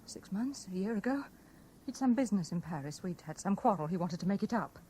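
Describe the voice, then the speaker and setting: A woman acting a young woman under pressure, pleading and weary. She has a mid-pitched voice in a vintage radio play, with faint noise behind her. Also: expressive, a little fast, mellow, natural voice. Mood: defensive. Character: distressed, reluctant young woman pressured by obsessive lover. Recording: noisy 1970s radio broadcast.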